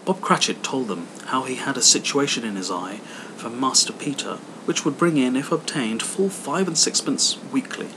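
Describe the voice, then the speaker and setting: A man's voice: medium pitch, lively intonation, normal speed, background noise. A man reading a literary story aloud as scripted audiobook narration. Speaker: audiobook reader.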